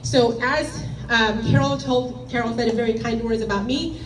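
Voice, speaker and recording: A middle-aged woman with a Korean accent speaks loudly and directly to a gathered audience, her voice sitting a little high. She is picked up from across an echoey meeting room, with a faint hiss of noise underneath. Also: moderate pace, distant mic. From South Korea. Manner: loud, emphatic, direct and plain-spoken. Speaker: guest speaker. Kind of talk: public presentation to a meeting.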